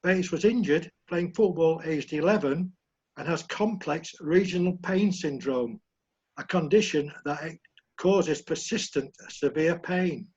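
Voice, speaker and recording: A man with a croaky voice reading out sports news items and joking with friends on a video call. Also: fairly high pitch, measured pace, darkish tone. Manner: jokey, reads news aloud. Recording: muffled video call audio.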